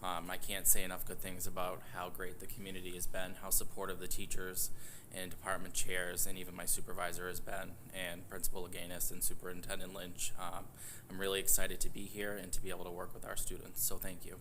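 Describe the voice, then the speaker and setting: Deep-voiced New teacher, flat and bright delivery, earnest self-intro at a board meeting. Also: male voice, fairly quick, noisy background. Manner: steady, earnest, with filler ums. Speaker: English teacher.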